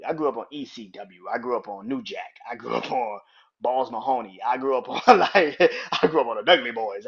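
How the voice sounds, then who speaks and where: A young man runs a casual podcast countdown, swearing freely and breaking into laughter as he gets fired up. His voice is husky and mid-pitched, and the recording sounds dull and lo-fi. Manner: profane, excitable, laughs often. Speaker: podcast host. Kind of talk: casual ranking monologue.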